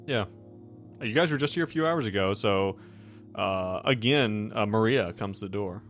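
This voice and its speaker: Low-voiced man running a tabletop game. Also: moderate pace, a bit bright, faint music behind. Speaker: game master. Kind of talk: casual improvised narration of a tabletop game.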